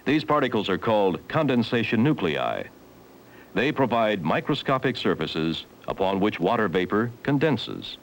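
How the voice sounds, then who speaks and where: A man with a low voice narrates a 1970s educational film. His delivery is formal and measured, with lively rises and falls in pitch as he instructs. The old film soundtrack carries a faint layer of noise. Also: poor audio. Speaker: documentary narrator.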